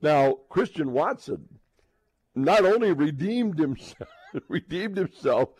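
A man hosting a sports radio show in casual talk, halting and repeating words as he builds toward a point. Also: a little high, animated, a little mellow, husky, no room sound, poor audio.

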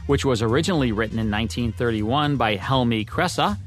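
An American man presents as a radio co-host, delivering scripted narration in a mid-pitched voice. A music bed plays underneath him. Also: a little fast.

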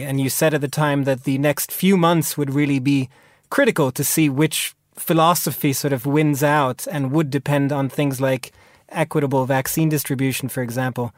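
A man's voice: fairly high pitch, no room sound. Male radio interviewer, dry close sound.

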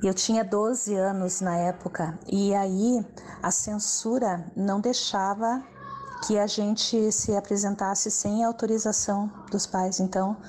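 A middle-aged woman speaks in a warm, reminiscing way, her tone dark and mellow. She often adds little "né" tags as she recalls things. It sounds like a recorded interview clip. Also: mid-range voice, fairly even intonation, a little slow, a little hiss or hum. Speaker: former stage assistant and singer.

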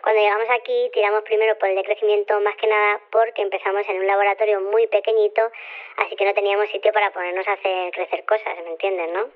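A woman's voice: medium pitch, flat delivery, fast talker. An actress playing a shrunken, sheepish woman scientist who rambles evasively in a small voice over a telephone line in an audio drama. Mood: sheepish, explanatory. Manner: rambling, casual, self-correcting, tag questions. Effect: telephone filter. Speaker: phone-in caller. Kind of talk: Spanish audio fiction radio phone-in. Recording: thin, band-limited telephone line.

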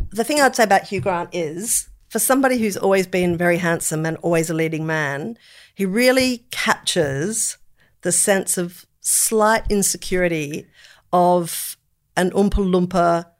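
A woman in middle age with a slightly low voice talks in a casual, conversational way. She sounds like a podcast co-host, choosing expressive, descriptive words as she goes. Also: measured pace. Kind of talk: casual chat about films.

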